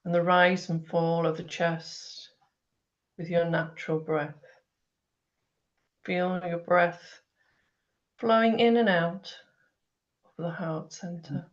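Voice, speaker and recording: A woman guides a grounding meditation, speaking very slowly in a soothing way. Her voice is fairly low. The sound is dull and lo-fi, like an online call. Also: fairly even intonation. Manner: slow, soothing instructions.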